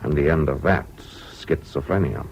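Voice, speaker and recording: An actor with a British accent playing a frank psychiatrist in a 1970s radio drama, blunt and matter-of-fact. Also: male voice, a bass voice, a little slow, a little mellow, faint music behind. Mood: frank, clinical. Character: blunt, frank male psychiatrist giving advice. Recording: dull, noisy old radio recording.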